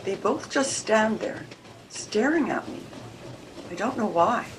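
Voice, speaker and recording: A woman's voice: mid-range voice, lively intonation, takes it slowly. Older woman acting proper edwardian wife in a radio play, earnest n troubled, careful formal words, bit of call noise. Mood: distressed. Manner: formal, careful period diction. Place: room at home, video call. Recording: video call with background noise.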